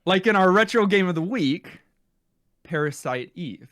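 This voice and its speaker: A man's voice: high-pitched, animated, a little slow, a bit bright. A man hosting a casual gaming podcast, chatting and bantering in a teasing way. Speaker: podcast host.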